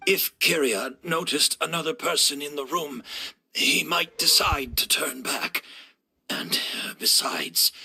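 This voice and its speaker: An actor playing a frail, courteous, resigned gentleman in a video game, speaking in a measured and polite way. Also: a little high, slightly rough, heavily acted, poor audio. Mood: cautious.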